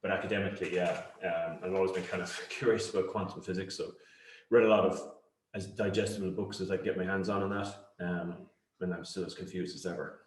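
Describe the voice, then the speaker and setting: Low-voiced Cork man, echoey room. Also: fairly even intonation, brisk. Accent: Cork Irish. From Cork, Ireland. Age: middle-aged. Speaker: interview guest, company founder. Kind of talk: conversational interview answers. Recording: roomy, echoey sound.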